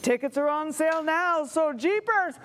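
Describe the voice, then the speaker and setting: A woman's voice: high-pitched, animated, normal speed. A woman giving a playful, theatrical promotional announcement for an event.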